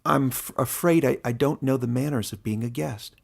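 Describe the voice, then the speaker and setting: Man voicing an anguished young outcast, gravelly and husky. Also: medium pitch. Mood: ashamed, apologetic. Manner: halting, emotional, stammering apologies and protests. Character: anguished young outcast log splitter, bitter yet humble.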